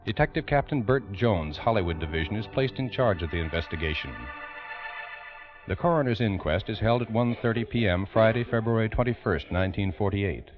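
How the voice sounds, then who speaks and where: A man's voice: fairly low pitch, animated, a little fast, music in the background. A man giving formal, dramatic scripted narration for a 1940s radio crime program. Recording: dull old radio recording.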